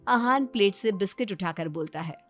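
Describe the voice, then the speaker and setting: A woman's voice: mid-range voice, animated, a little fast, fairly bright tone. A woman storyteller reading a scripted moral family story as narrator. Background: background music.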